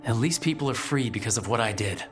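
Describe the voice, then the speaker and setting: A man's voice: mid-range voice, animated, fast, close to an everyday voice. A man acting as a young human mage, the hero of a fantasy video game. He sounds earnest and resolute, speaking in a medium male register. Faint music plays under the voice. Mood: reflective. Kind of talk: video game dialogue and reflective monologue.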